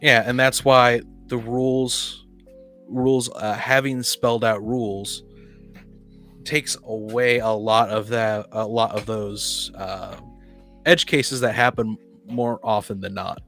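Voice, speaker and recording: A man's voice: mid-range voice, fairly even intonation, slow pace, a bit bright, faint music behind. A man in a casual panel discussion about tabletop gaming, speaking in an opinionated, blunt way. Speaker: podcast panelist.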